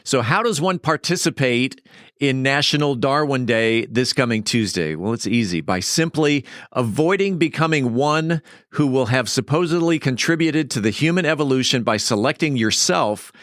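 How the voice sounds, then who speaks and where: A man's voice: mid-range voice, lively intonation, normal speed, a bit bright, no echo, studio quality. A man presenting scripted news and sponsor reads for a podcast.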